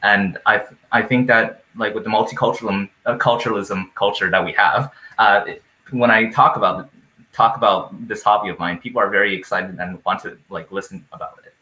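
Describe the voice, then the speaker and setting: Bright-voiced young man, echoey room. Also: fairly low pitch, steady tone, a little fast. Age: young adult. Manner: hesitant, restarts often. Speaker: student guest. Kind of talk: casual video call discussion. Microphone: headset.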